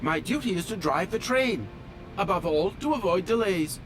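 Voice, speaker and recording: A man's voice: high voice, lively intonation, moderate pace, over music. A male actor voicing a fussy, polite automaton train engineer in a video game, with formal, precise, pedantic diction. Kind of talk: video game character dialogue.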